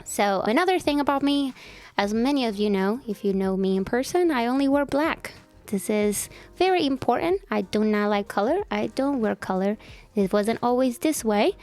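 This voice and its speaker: Twenties woman from El Salvador, casual podcast co-host, self-deprecating and quick to laugh, light music bed. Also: on the high side, expressive, a little mellow. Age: 20s. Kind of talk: casual chat.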